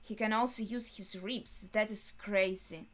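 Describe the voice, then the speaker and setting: A young adult woman giving casual reaction commentary, thinking aloud haltingly in short phrases. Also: mid-range voice, fairly even intonation. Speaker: anime reaction host. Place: small bedroom indoors.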